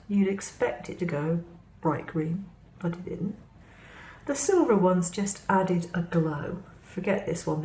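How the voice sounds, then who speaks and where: A slightly hoarse woman talks through her craft materials in a relaxed, chatty way, taking her time over each one. Her voice sits a little low. The recording is roomy, with a faint hum behind her. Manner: unhurried, chatty explaining while handling materials. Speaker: craft vlogger. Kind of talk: casual product demonstration. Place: indoors at a crafting table.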